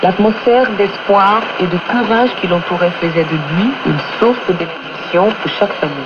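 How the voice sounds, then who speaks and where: Female radio presenter for devotional narration, measured and reverent, low-pitched, buried in loud shortwave noise. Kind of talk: scripted religious narration read aloud. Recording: noisy, muffled shortwave radio broadcast.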